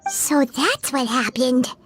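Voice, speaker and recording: A woman's voice: sits high, takes it slowly, faint music behind. A woman voicing a small, cute, inquisitive companion creature in a video game. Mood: curious.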